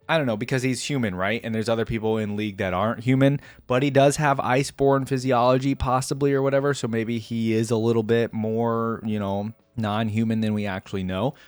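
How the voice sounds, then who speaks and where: A young adult man hosts a reaction video in a casual, musing style. His pitch is average and the sound is dry, with little room in it. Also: no echo. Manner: musing, tentative asides to viewers.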